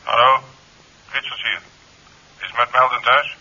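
An actor playing a commanding police commissioner over the telephone in a 1970s radio drama. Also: male voice, mid-range voice, steady tone, measured pace, pushed and bright, dull audio, slight background noise. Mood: brisk, commanding. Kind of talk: 1970s Dutch radio play acting. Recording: thin telephone sound on old radio tape.

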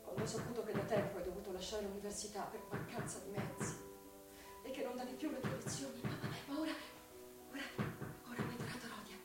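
A young woman playing a devoted, anguished sister reciting a confessional letter in a halting, theatrical radio drama style. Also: mid-range voice, steady tone, natural voice. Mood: anguished, tender. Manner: halting, repeats words, breathy emotional outbursts. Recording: echoey, distant, music behind.

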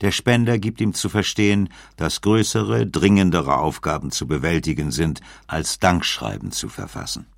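A man narrating a scripted documentary in a measured, factual way. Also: low voice, vocal fry, no room sound, studio quality.

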